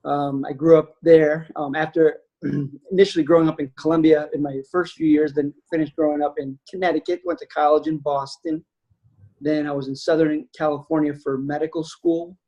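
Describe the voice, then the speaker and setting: Casting: man in his forties, fairly high voice, reflective webinar guest, video call audio. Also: little pitch movement, moderate pace, highs cut off. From United States. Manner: reflective, many fillers. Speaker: anesthesiologist guest. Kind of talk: webinar talk.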